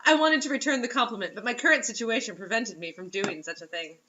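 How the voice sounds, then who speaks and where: A woman's voice: fairly high pitch, fast talker, pushed and bright, muffled recording. A woman reading fiction aloud, giggly and often breaking off into incredulous joking asides. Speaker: reader and co-host mocking bad fiction.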